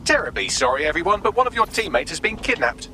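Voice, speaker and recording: An actor playing a sarcastic British artificial intelligence assistant in a video game, flippant and wordy. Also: male voice, high voice, very animated, fast, background noise. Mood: breezy.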